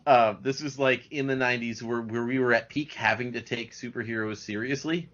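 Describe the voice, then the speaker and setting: Male animator as podcast guest, medium pitch, informal conversational read, low-fidelity muffled audio. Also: steady tone, a little fast, a bit bright. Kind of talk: casual chat about cartoons. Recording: dull lo-fi audio.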